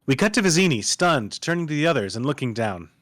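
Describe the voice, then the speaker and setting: A man's voice: a little high, big pitch swings, quick pace, fairly bright tone, dry recording, clean studio sound. A man reading stage directions as dramatic scripted narration at a live table read.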